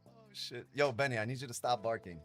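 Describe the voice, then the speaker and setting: A man's voice: medium pitch, quick pace, fairly bright tone, faint music behind. A man hosting a day-trading livestream, chatting casually, joking and swearing often. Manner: joking, profane, laughs often.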